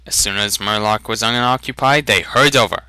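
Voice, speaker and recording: A man's voice: on the low side, a little fast, sharp tone, clean tone, dry recording. A man reading fantasy fiction aloud as a dramatic, theatrical narration. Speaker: amateur audiobook reader.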